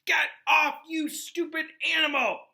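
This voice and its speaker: A man voicing an irritable, hot-tempered teenage schoolboy in a storybook, blunt and quick to raise his voice. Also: very high voice, steady tone, pitched above the performer's own voice, heavily acted. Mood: furious yelling. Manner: scowling, blunt, yelling when angered.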